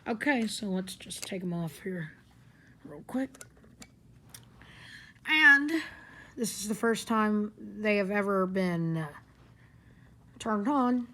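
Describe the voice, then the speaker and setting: A woman's voice: mid-range voice, lively intonation, takes it slowly, long pauses, bright, forceful tone. A woman giving a casual hands-on gadget demonstration in a rambling, informal way, recorded in a living room. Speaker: gadget reviewer. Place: carpeted living room indoors. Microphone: handheld camera or phone, speaker off screen.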